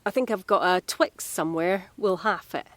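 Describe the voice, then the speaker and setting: A woman's voice: lowish voice, normal speed, no room sound, lightly acted. Woman acting a cheeky Scottish carer, dry close sound. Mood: cheeky, reassuring. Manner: chatty, gently teasing. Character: friendly, cheeky, chatty female home carer. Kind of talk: audio drama acting.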